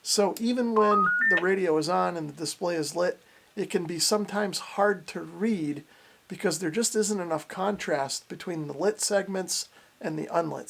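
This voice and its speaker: A man's voice: sits high, creaky, fairly bright tone. A man giving a casual, plain-spoken product review and demonstration, explaining things as he goes. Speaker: radio reviewer and host.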